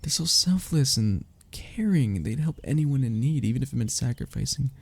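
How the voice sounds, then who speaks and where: A young man playing a wistful, lovestruck childhood best friend in an intimate audio roleplay, speaking in a halting, sighing, confessional way. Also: mid-range voice, expressive, vocal fry, darkish tone, slightly rough, dry recording. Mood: tender, lovestruck. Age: young adult.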